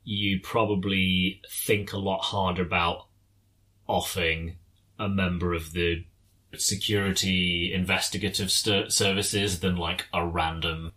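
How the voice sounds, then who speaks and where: Deep-voiced Englishman chatting about a film. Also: level tone, measured pace, pushed and bright. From England. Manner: thoughtful, hesitant, trails off mid-sentence. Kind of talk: casual film discussion.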